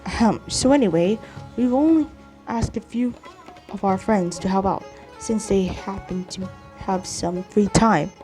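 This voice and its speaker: Woman reading haltingly over game music. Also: mid-range voice. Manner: halting read-aloud with stumbles and repeated words. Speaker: gaming streamer. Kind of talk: reading game dialogue aloud during a playthrough. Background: video game music.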